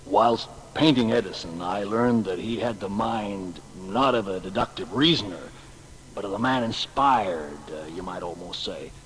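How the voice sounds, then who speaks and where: A man's voice: lowish voice, measured pace, a bit bright. A man playing a thoughtful, refined portrait painter recalling his subject in a reflective, considered manner. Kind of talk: dramatised audio biography acting. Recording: noisy, long echoing hall reverb.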